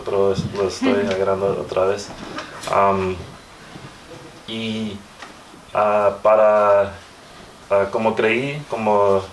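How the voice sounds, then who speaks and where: A man in his thirties with an American accent, a native English speaker, giving an informal panel talk and haltingly searching for words. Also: fairly low pitch. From Southern California, United States. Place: small room with hard walls, indoors. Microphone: far camera microphone. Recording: distant mic, echoey room, faint noise.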